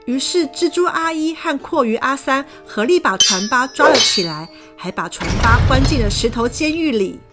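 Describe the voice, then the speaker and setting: A woman narrating a children's fairy tale in a lively, expressive way. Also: sits high, normal speed, hardly any pauses. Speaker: storyteller. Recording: background music.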